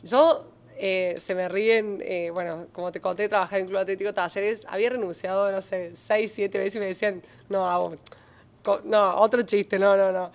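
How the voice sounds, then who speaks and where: Animated Cordoban Argentine woman storyteller. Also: medium pitch, moderate pace. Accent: Cordoban Argentine Spanish. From Córdoba, Argentina. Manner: animated storytelling with many fillers and restarts. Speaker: journalist and travel creator guest. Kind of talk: podcast interview.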